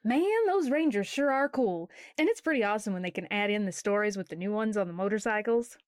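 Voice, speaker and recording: A woman's voice: medium pitch, lively intonation, brisk, no room sound, studio quality, natural voice. A woman playing a playful, sassy young sister in an audio drama, casual and teasing.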